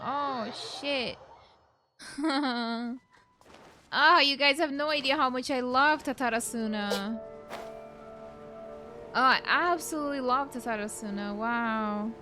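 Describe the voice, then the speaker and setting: A young woman streams a video game in a high, bright voice. She comments casually and rambles in informal phrases. Game music plays faintly behind her. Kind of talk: casual let's play commentary.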